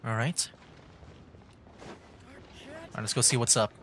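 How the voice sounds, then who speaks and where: A man's voice: medium pitch, fairly even intonation, unhurried, a bit bright. Casual man streaming a game. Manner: rambling, thinking aloud. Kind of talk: casual live game commentary.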